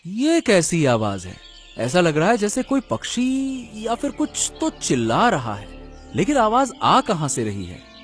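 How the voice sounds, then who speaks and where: A man's voice: high voice, wildly swooping pitch, a little slow, full character voice. A man voicing an honest, caring woodcutter with an earnest, emotive manner in a cartoon story. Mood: puzzled, pitying. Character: honest, caring village woodcutter husband, indignant and soft-hearted. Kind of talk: cartoon story character acting. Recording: music under the voice.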